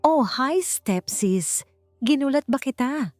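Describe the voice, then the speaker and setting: A woman's voice: high-pitched, lively intonation, slow, darkish tone, no room sound, soft background music, voice pushed up. Woman playing a smug, spoiled young stepsister. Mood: smug, taunting. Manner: sneering, taunting, mixes English into Tagalog.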